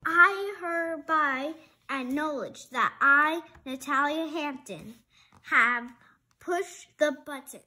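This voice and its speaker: A child presenting a children's book read-aloud in a playful, expressive way, stumbling now and then. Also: sits high, slow.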